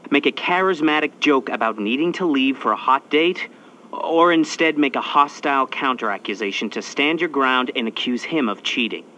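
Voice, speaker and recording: An actor playing a brisk male spy agency handler who gives crisp, urgent instructions in scripted game dialogue. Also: mid-range voice, expressive, bright, forceful tone, highs cut off, slight background noise. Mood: briefing. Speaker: mission handler.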